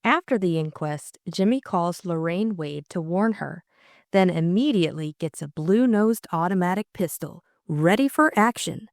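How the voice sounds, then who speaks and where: A woman's voice: on the low side, expressive, normal speed, no echo, clean studio sound. A woman reading a scripted mystery recap and novel as an audiobook co-narrator, in dramatic style.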